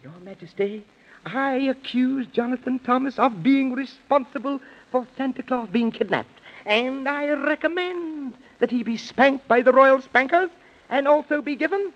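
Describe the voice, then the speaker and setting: An actor playing a pompous, frantic, stammering professor in a 1930s children's radio comedy. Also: male voice, very high voice, measured pace. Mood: accusing. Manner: stammering, repeating himself, overblown alliterative oratory. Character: frantic, pompous, loony male professor prosecuting in mock court. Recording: muffled vintage 1930s radio recording.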